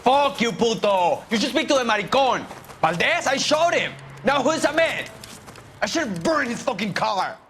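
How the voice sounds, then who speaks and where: A man's voice: very high voice, big pitch swings, normal speed, a bit bright, a little hiss or hum, exaggerated voice. A man playing a hot-headed, defiant young street car thief in a crime game. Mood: bitter, defiant. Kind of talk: dramatic game acting.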